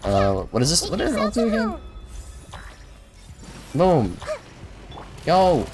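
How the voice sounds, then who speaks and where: A man's voice: sits high, wildly swooping pitch, takes it slowly. A man live streaming a video game with casual, slangy commentary, often muttering and sounding unsure of himself. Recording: music behind the voice. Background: game music.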